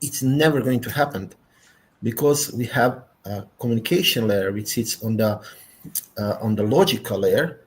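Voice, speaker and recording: A man's voice: lowish voice, moderate pace, slight background noise. Croatian-accented man, technical panel talk. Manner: technical, emphatic, tags sentences with "yeah?". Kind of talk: panel discussion.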